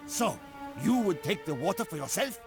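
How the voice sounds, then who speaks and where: An actor playing a defiant Turkish prisoner in a game cutscene, urgent and accusing. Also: male voice, sits high, big pitch swings, moderate pace, music in the background. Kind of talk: video game cutscene acting.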